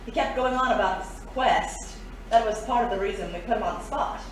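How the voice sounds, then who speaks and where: A woman's voice: a little high, fairly quick, recorded from a distance, lightly acted. A woman playing a shrewd, world-weary thief in a pulp adventure audio drama, cool and knowing. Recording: echoey, off-mic, faint noise.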